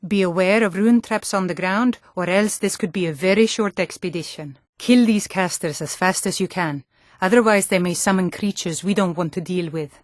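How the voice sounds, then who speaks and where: Woman acting a tough nord warrior lady for a fantasy game, nordic accent, lowish voice, firm and blunt, very dry recording. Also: moderate pace, no echo, close to an everyday voice. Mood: battle-ready. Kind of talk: fantasy video game dialogue.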